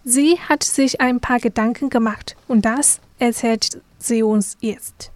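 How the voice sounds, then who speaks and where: A woman's voice: fairly high pitch, slow pace, dry recording. A woman hosting a magazine programme, presenting from a script and putting questions to guests. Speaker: radio magazine host.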